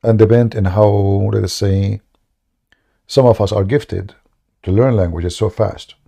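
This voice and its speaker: Gravelly low-voiced man lecturing earnestly. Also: steady tone, a little slow, mellow. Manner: earnest, lecturing, speaks English as a second language. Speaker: host. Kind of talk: casual chat answering a caller.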